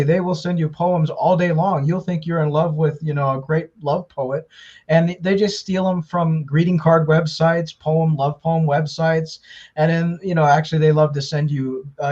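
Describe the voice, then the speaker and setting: A man's voice: on the high side, flat delivery, normal speed, darkish tone. A man speaking as an interview guest, explaining things conversationally at length with fillers and restarts. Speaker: interview guest, scam baiter. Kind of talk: casual interview talk. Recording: dull lo-fi audio.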